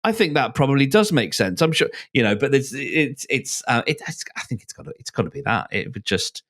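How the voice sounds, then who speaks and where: A man's voice: medium pitch, sing-song, fairly quick, fairly bright tone. A man chatting casually on a podcast, feeling his way thoughtfully through his phrases. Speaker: podcast speaker. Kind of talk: casual discussion.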